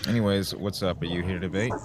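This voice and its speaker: Male debate stream moderator, low register, blunt and informal, slight background noise. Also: steady tone, quick pace. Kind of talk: casual, blunt chat.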